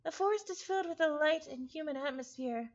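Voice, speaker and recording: Female visual novel reader, high-pitched, expressive narrator who chats casually, slightly dull lo-fi sound. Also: a little fast. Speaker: streamer reading a visual novel aloud.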